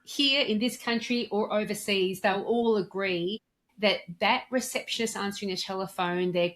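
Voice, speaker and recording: Woman, podcast guest, communication trainer, articulate, emphatic, instructive, mid-range pitch. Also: normal speed, fairly bright tone. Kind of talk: podcast interview, explaining and giving advice.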